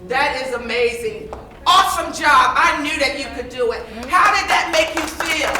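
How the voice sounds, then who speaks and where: Woman acting earnest high-voiced teacher in echoey hall. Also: moderate pace, sharp tone. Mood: upbeat. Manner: effusive, praising. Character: earnest encouraging female schoolteacher who believes in her students. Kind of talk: stage play acting, projected. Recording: echoey stage play, distant mic, faint noise.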